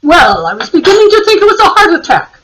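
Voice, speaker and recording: A shrewd, brisk-minded police sergeant played by a woman in a radio mystery. The voice is high and swings widely, with theatrical musing between questions. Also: huge pitch swings, noisy background, full character voice. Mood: musing, knowing.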